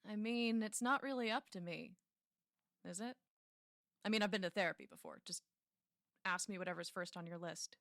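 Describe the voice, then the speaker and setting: Troubled woman in her twenties, radio drama. Sardonic, hesitant, defensive; mid-pitched, very dry recording. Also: moderate pace, gravelly, long pauses, fairly bright tone, no room sound. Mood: guarded, defiant. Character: guarded, sarcastic young woman, a troubled new patient. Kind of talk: audio drama acting.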